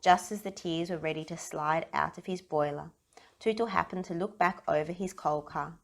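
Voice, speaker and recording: A woman reading a children's story aloud in an expressive narrating style. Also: low voice, moderate pace, gravelly. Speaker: storybook reader.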